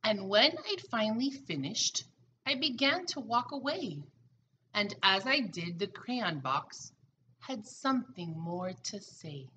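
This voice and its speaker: A middle-aged woman, a preschool teacher, reading a picture book aloud expressively and deliberately to young children. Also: mid-range voice, very animated, bright, forceful tone, a little hiss or hum, rough recording. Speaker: pre-K teacher. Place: small room at home, indoors.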